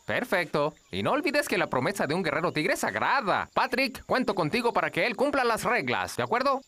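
A man's voice: high voice, wildly swooping pitch, fairly quick, heavy background noise. An actor voicing a proud, earnest penguin father who sees himself as a tiger warrior, in a commanding, solemn cartoon delivery. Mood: stern. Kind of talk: animated cartoon dub.

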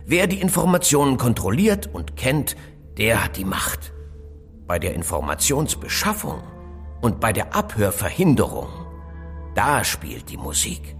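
An actor playing a self-assured, cryptic expert who lectures deliberately and emphatically in a German audio drama. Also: male voice, on the low side, wildly swooping pitch, vocal fry, soft background music, full character voice. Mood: grave, conspiratorial. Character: self-assured middle-aged male expert on phone surveillance, cryptic.

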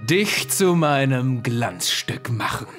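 A man as a slow, menacing mad surgeon. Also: mid-range voice, animated, fairly bright tone, faint music behind. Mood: calm, sinister. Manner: slow, deliberate, menacing delivery. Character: sinister mad surgeon with unnatural glowing eyes, obsessed creator. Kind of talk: scripted horror audio drama.

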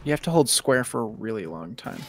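A man's voice: mid-range voice, sing-song, fairly quick. A young man co-hosting a game stream, chatting casually with an emphatic, complaining manner. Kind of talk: casual gaming chat.

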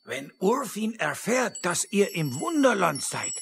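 Slow, solemn little old elder. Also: male voice, high-pitched, sing-song, creaky, fairly bright tone, slight background noise, heavily acted. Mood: grave, aggrieved. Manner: formal, slow, grave. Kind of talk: children's audio play acting.

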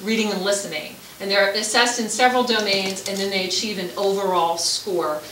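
A woman's voice: medium pitch, steady tone, a little fast, fairly bright tone. A woman in her forties giving an explanatory presentation on a school program to a meeting. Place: school room at a committee meeting, indoors. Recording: echoey room, faint background noise.

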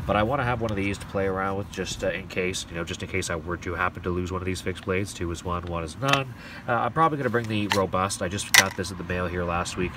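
Deep-voiced man rambling about gear. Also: recorded from a distance, background noise. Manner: rambling, enthusiastic, frequent fillers. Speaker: gear reviewer. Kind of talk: casual show-and-tell. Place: outdoor wooden deck. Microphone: overhead camera on hands, outdoors.

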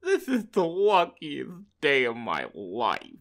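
Greedy male fox villain voiced by a woman; low, sneering, slow, wildly swinging delivery. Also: wildly swooping pitch, exaggerated voice. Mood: gloating. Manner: sneering, scheming, gloating. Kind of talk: storybook reading.

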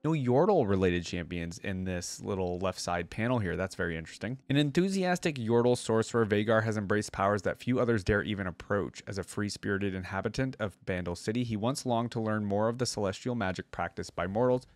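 A man's voice: fairly low pitch, lively intonation, fairly quick, hardly any pauses, no room sound. A young man hosting a casual reaction video, thinking aloud and reading lore at a close desk microphone. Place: indoor gaming room.

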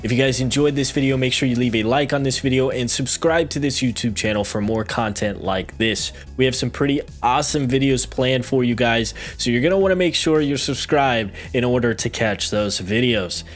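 A man in his thirties hosting a software tutorial, speaking in a friendly, direct way to viewers into a close microphone. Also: medium pitch, normal speed, few pauses. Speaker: video tutorial host. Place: small room indoors at a desk. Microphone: close desk microphone with headset on.

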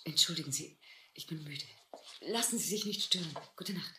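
A woman's voice: deep voice, moderate pace, sharp tone. A woman acting a weary, courteous island wife in a radio drama. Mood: weary, polite. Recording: roomy, noisy old radio-play recording.

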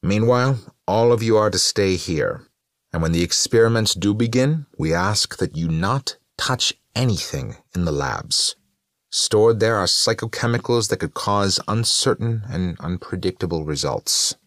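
Audiobook doctor , done by a male narrator: official, composed, measured delivery, slightly gravelly voice. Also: on the low side, animated, fairly bright tone, no echo, clean studio sound, voice pushed up. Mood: formal announcing. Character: male doctor running experiments, composed and formal.

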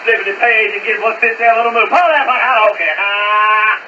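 Over a noisy, muffled and distant shortwave broadcast, a man delivers a fiery holiness sermon. He screams at an extremely high pitch, then drops abruptly into talk and climbs back into shouted exhortation. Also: steady tone, brisk. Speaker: preacher. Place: heard from a shortwave receiver's speaker.

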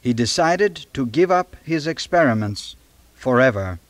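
A man reading scripted storybook narration dramatically, in a measured, suspenseful manner. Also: medium pitch, lively intonation, no room sound.

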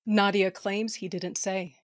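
A woman playing a tough, blunt female space fighter with a husky voice in an audio drama. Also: medium pitch, flat delivery, unhurried, no echo, clean studio sound, natural voice. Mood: blunt, tense. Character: blunt, hard-swearing female space fighter, loyal comrade.

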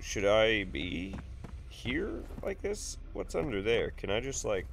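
A man's voice: fairly low pitch, sing-song, takes it slowly, vocal fry, a little hiss or hum. A man in his thirties with a sick, nasal voice from blocked sinuses, rambling and joking through casual game commentary at a home desk. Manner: rambling, jokey, swears freely. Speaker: gaming YouTuber. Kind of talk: casual let's play commentary.

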